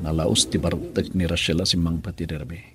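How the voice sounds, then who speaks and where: A man with an Ilocano accent playing a troubled married son in a radio drama, his voice gravelly and husky. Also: low-pitched, level tone, moderate pace, music in the background, natural voice.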